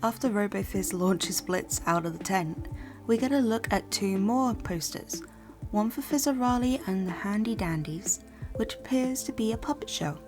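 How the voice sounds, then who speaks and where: A young woman co-hosting a casual scripted recap commentary, playful and quick to swear. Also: mid-range voice, lively intonation, music in the background. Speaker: co-host.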